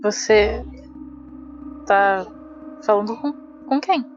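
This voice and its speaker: A woman's voice: medium pitch, slow, a little hiss or hum, close to an everyday voice. A Brazilian woman playing a hero's concerned, insistent female companion, earnest and questioning, in a slightly lo-fi role-playing audio drama. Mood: worried, insistent. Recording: dull, slightly lo-fi sound.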